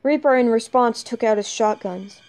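High-voiced woman storyteller, stumbling slightly. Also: moderate pace, darkish tone. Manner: stumbles over written words. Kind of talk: scripted narration of fan fiction.

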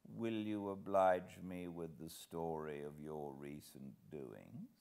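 A man reading an audiobook, voicing a sly, assured older male villain who speaks softly with quiet menace. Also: deep voice, slow pace, creaky, heavily acted. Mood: smooth menace. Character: sly old male villain, gently smiling. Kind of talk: audiobook character voice.

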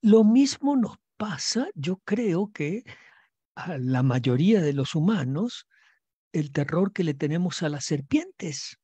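A man's voice: a little high, very animated, slow pace, warm tone, slightly rough, no room sound. An older man, hosting a reflective talk on a video call, speaking thoughtfully with frequent fillers and restarts into a headset mic.

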